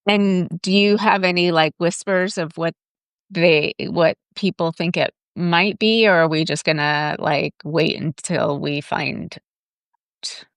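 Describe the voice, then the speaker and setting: Low smooth-voiced woman host. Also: takes it slowly, no room sound, studio quality. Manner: hesitant questions with fillers. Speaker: interview host. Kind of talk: interview conversation.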